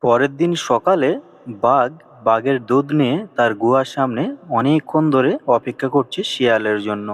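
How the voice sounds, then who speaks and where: A man's voice: medium pitch, normal speed. A man narrating a scripted animal folk tale as a storyteller. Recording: long echoing reverb.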